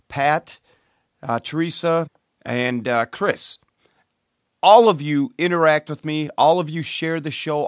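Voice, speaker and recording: A man hosting his own podcast in a chatty, ranting monologue, hoarse and losing his voice from a cold. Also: medium pitch, no room sound, studio quality. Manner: ranting, chatty, with fillers and restarts. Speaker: podcast host.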